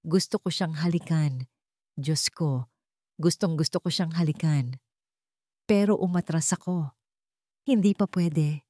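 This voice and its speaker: A woman narrating a romantic drama in the first person, in an expressive, sentimental storytelling style. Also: low voice, measured pace, long pauses, no room sound, clean studio sound.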